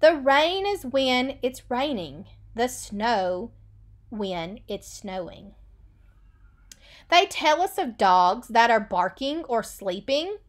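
A woman in her thirties with a high voice, teaching young children through a read-aloud. She takes her time, speaking slowly and stressing key words in a sing-song way. She is recorded on a webcam in a small room. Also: big pitch swings. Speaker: elementary school teacher. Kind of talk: read-aloud picture book lesson for children.

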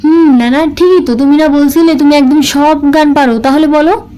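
A woman's voice: high-pitched, steady tone, moderate pace, dark tone, natural voice. A woman voicing a cheeky young grandchild in a comedy cartoon, teasing and bossily correcting the grown-ups. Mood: bossy, correcting. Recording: clear background noise.

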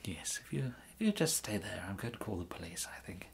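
A man in his thirties with an English accent playing a polite, fussy gentleman tailor in an ASMR roleplay, murmuring hesitantly and often slipping into a breathy whisper. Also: deep voice, big pitch swings, a little fast, a little hiss or hum, exaggerated voice. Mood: regretful, put out. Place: indoors, plain small room, close to camera.

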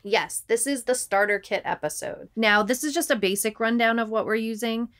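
A woman's voice: on the high side, normal speed, fairly bright tone. A woman co-hosting a podcast in a conversational style. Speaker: podcast co-host.

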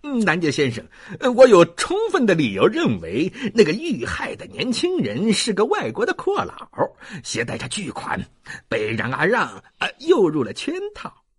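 A man acts out a sly male scoundrel from an audiobook, peddling secrets. His voice is very rough and husky, swooping widely in pitch as he wheedles and insinuates in a halting, persuasive way. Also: huge pitch swings, full character voice. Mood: smug, persuasive. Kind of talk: audiobook character acting.

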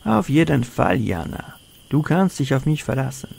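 An actor playing a cold, self-assured hired kidnapper in a German crime audio drama. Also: male voice, fairly high pitch, very animated, moderate pace, gravelly, darkish tone, slightly rough, full character voice. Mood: smug, sinister. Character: cold, menacing hired criminal, a smug kidnapper.

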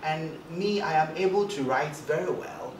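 A man in his thirties with a light voice, talking animatedly as a guest in a seated interview, with some stammered starts. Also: moderate pace. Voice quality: light, high-ish voice for a man. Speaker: broadcast journalist guest. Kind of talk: casual interview talk. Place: indoor studio set, seated in armchair. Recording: roomy echo, distant mic, background noise.

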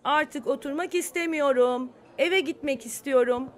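A woman's voice: high-pitched, unhurried. A woman voicing an eager, curious preschool boy in a cartoon, with a childlike, earnest manner. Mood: whiny.

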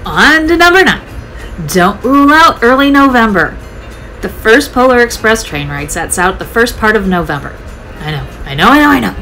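A woman's voice: fairly high pitch, very animated, moderate pace, sharp tone, soft background music, poor audio. A woman narrating her travel vlog in a scripted voice-over, upbeat, chatty and enthusiastic. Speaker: travel vlogger.

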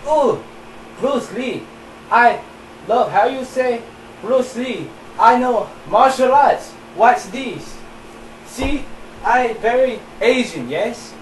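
A man's voice: very high voice, a little hiss or hum. A teenage boy putting on a boastful young man who speaks broken English and asks "yes?" after everything, filmed in a bedroom. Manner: halting, mock-foreign, keeps asking "yes?". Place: small cluttered bedroom. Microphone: camera across the room. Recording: roomy, distant home-camera audio.

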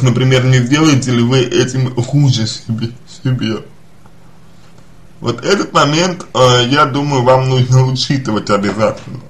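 A man with a mid-pitched voice gives advice in the role of a psychologist. He talks very slowly and deliberately, without a script. His delivery is halting, and he often repeats or rewords a phrase before moving on. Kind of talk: spoken advice to a listener, unscripted.

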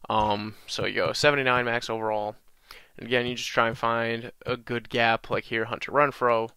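A young man with a slight cold-raspy voice, casually walking through a video game tutorial with lots of ums. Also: mid-range voice, moderate pace, no room sound. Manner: relaxed, many ums and uhs, talks through menus. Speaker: gaming channel host.

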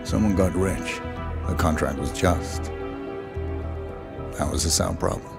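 An actor playing a terse, stoic professional assassin with a gravelly voice and a clipped, deadpan delivery in a video game. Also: male voice, low-pitched, unhurried, loud music behind the voice. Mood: stoic, suspicious. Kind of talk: cinematic video game acting.

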